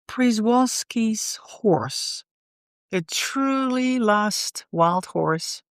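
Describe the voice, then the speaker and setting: A woman leading a guided meditation in a soothing, unhurried way, often pausing in the middle of phrases. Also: mid-range voice, smooth, no echo, studio quality.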